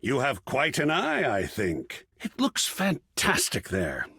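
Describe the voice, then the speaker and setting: A man's voice: medium pitch, wildly swooping pitch, slow, creaky, pushed and bright, husky, no echo, studio quality, heavily acted. An actor voicing an effusive, grandiloquent art connoisseur in a video game, savoring his words with dramatic flair. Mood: eager, gracious. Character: effusive, theatrical art connoisseur, a living piece of artwork. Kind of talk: theatrical game character dialogue.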